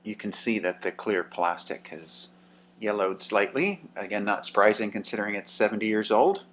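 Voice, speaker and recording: Low-voiced man showing an old radio. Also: normal speed. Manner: relaxed, with frequent "uh" and "um". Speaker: vintage radio collector. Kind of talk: casual show-and-tell narration.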